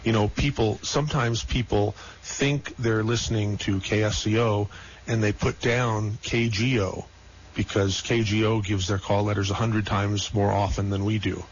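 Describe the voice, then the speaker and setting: A man's voice: fairly low pitch, steady tone, normal speed, a little hiss or hum. A man hosting a radio talk show, speaking casually with frequent fillers and restarts. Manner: hesitant, many fillers and restarts. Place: radio studio. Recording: lo-fi radio broadcast with faint music.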